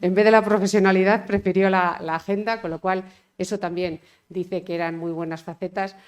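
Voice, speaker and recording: Middle-aged woman mayor giving a tribute speech, lowish voice, pretty monotone. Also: fairly quick, clear, resonant tone. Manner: steady, thanking people one by one. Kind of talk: formal speech at a tribute event.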